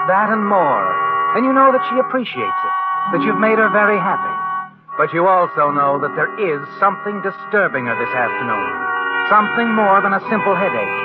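Vintage radio mystery narrator, male actor: insinuating, deliberate, slightly high register, thin phone-like sound. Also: close to an everyday voice. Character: sly, knowing mystery narrator, a man, addressing the character. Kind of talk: 1940s radio suspense narration. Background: dramatic music.

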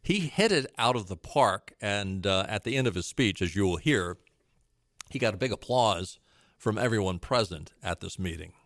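Emphatic male political talk host, lively pitch. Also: moderate pace, dry recording. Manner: emphatic, sarcastic, jumps between points. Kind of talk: political commentary.

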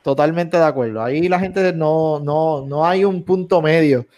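A man's voice: a little high, moderate pace, a little mellow. A man co-hosting a gaming podcast, talking casually with frequent restarts and English words mixed in. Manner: rambling, many restarts and Spanglish. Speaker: podcast co-host. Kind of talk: casual chat.

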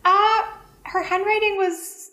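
A woman working as a dance archive curator, telling animated stories in a casual conversation and laughing often as she goes. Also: very high voice, takes it slowly, roomy sound. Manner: animated storyteller, laughs often, restarts phrases.

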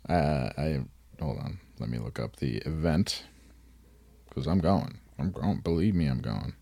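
A man's voice: a bass voice, animated, unhurried, gravelly, warm tone, husky, no echo. A man co-hosting a podcast in casual chat, joking, laughing often and swearing. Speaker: podcast co-host.

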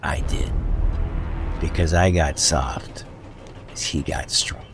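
An actor playing a weary, menacing mob boss with a sentimental streak in a radio drama, deliberate in delivery. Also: male voice, very deep voice, music in the background, full character voice. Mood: somber menace.

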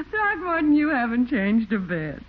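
A tinny vintage radio recording of a genteel American lady school principal. Her high, lively voice is proper and kindly, with a gently corrective schoolmarm manner in radio comedy style. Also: slight background noise. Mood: amused surprise. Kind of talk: old-time American radio situation comedy acting. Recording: thin, narrow-band vintage radio recording.

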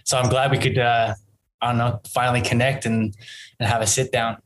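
A man's voice: mid-range voice, level tone, brisk, a bit bright, low-quality recording. A man in his thirties with an Australian accent, telling his story casually and informally, often correcting himself mid-sentence. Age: 30s. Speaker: podcast guest, entrepreneur.

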